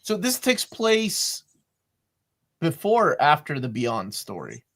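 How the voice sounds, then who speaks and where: A man's voice: a little high, slow. A man on a comics podcast panel, chatting casually and asking the others questions, with many fillers. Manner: chatty, lively, asks questions, many likes and ums. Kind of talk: casual chat.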